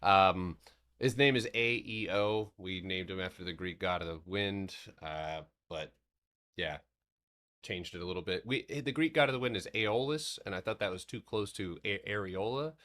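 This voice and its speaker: A man hosting a casual livestream market recap. He talks in a loose, rambling way, swears casually, and slows into a steady explanatory tone when walking through charts. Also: deep voice. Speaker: stock trading streamer.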